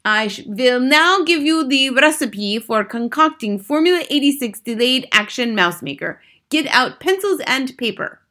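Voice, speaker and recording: A woman's voice: high voice, lively intonation, moderate pace, hardly any pauses, voice pushed up. A woman voicing an imperious, gloating, menacing witch leader in a children's audiobook, snapping out commands in oddly turned grammar. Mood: lecturing, triumphant. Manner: commanding, snapping, shouting, odd foreign-sounding grammar. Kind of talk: audiobook children's story villain.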